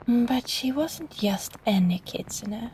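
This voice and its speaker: A woman reading a bedtime story softly and breathily in a gentle, soothing ASMR manner, often close to a whisper. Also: a little high, lively intonation, noisy background. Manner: gentle, slow, soothing delivery. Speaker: storyteller, voice actress. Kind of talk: soft bedtime story reading, sleep-aid ASMR roleplay.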